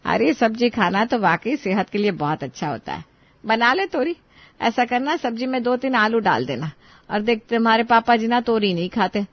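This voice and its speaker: A woman's voice: a little high, expressive, normal speed, no room sound, dull audio. A woman playing a middle-aged, bossy yet affectionate mother-in-law in a dramatized story, instructing in a homely, motherly way. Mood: coaxing.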